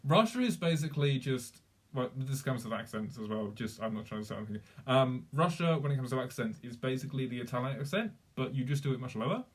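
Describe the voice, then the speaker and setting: A man's voice: medium pitch, animated, fast. A young man chatting casually on a live stream, rambling, swearing freely and laughing often. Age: young adult.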